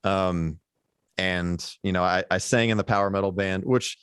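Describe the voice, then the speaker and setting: A man with a low baritone voice talks as a guest on a casual podcast. He rambles with plenty of fillers and restarts. His voice sits low, and the recording is dry, with no room sound. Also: fairly even intonation, normal speed, a bit bright, smooth, dry recording. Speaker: guest musician.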